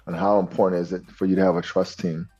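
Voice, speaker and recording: Mellow, encouraging male interviewer. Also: low voice, flat delivery, quick pace, husky. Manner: affirming, encouraging. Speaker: host and coach. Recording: dull lo-fi sound, soft music.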